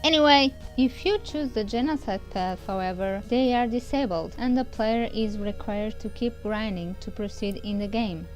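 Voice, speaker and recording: A woman's voice: fairly high pitch, moderate pace, hardly any pauses, highs cut off. A woman reviewer giving a casual, scripted game voice-over, chatting informally and addressing viewers directly. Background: music.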